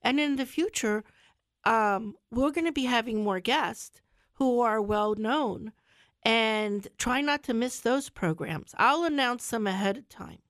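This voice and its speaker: A woman's voice: fairly high pitch, unhurried, fairly bright tone, no echo. Middle-aged lady doctor hosting radio, a bit stuffy from a cold, earnest, dry close sound. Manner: earnest, repeats words for emphasis. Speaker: family physician hosting a radio health show. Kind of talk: casual radio talk. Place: radio studio.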